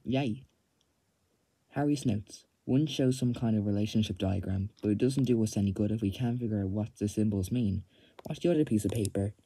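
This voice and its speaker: A man's voice: lowish voice, normal speed, dark tone, husky, no room sound, poor audio. A man casually reading game dialogue aloud and commenting as he plays. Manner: reads on-screen text in a plain voice. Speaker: let's play gamer.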